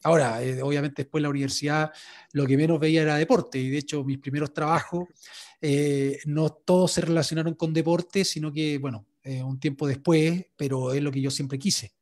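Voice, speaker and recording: A sports journalist being interviewed, telling his story in a relaxed way, with many fillers and restarts. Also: fairly high pitch, a little fast. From Chile. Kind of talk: interview answers.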